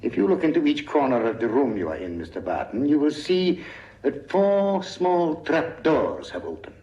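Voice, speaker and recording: A man playing a suave, gloating master villain in a radio thriller serial, menacingly polite, deliberate and taunting. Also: a little high, big pitch swings, dark tone, echoey room, muffled recording. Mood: coolly threatening.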